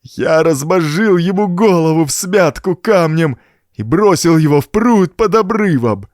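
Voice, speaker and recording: A man's voice: a little high, lively intonation, a little mellow, no room sound, studio quality, voice pushed up. A man voicing a big, simple-minded, deranged young brute in an audiobook, bellowing threats in a strained, shrieking voice. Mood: murderous rage. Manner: bellowing, howling threats in slow bursts.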